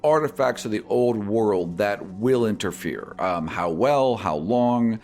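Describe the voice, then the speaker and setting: Deep-voiced, lively man hosting a podcast. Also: a little slow, soft background music. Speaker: podcast host and game master. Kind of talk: answering listener questions on a podcast.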